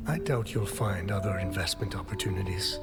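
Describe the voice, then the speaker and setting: A man's voice: low-pitched, a little fast, gravelly. An actor playing a polite, persuasive male investor with schemes in a game. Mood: scheming. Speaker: game character. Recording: game audio with loud music.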